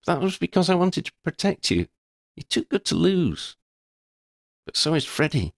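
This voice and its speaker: A man's voice: on the high side, vocal fry, no echo, studio quality, pitched above the performer's own voice. A man reading aloud and voicing a frank, protective teenage schoolgirl who speaks earnestly and reassuringly. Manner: raised put-on voice, earnest and reassuring.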